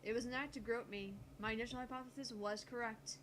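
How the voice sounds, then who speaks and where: A woman's voice: on the high side, steady tone, quick pace, a bit bright, a little hiss or hum, lightly acted. A woman voicing a brusque, self-assured eighteen-year-old female genius scientist in a visual novel, curt and no-nonsense. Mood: accusing, cool. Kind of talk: visual novel read aloud in character.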